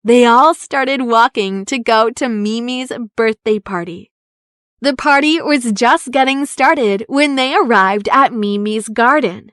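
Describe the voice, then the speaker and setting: A woman narrating a children's story aloud in an expressive, deliberate storytelling manner. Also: on the high side, clean tone, no room sound.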